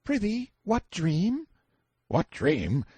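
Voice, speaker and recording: A man's voice: high-pitched, wildly swooping pitch, very slow, dark tone, slightly rough, no room sound, pitched above the performer's own voice, exaggerated voice. A man voicing a timid young medieval page boy who speaks in archaic, courtly exclamations in an audiobook story. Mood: amused, teasing. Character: timid, light-hearted medieval page boy, superstitious and easily frightened.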